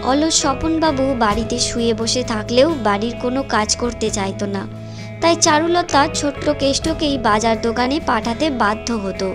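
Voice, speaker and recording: A woman narrates a scripted story. Clear background music plays behind her storytelling. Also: on the high side, animated, normal speed.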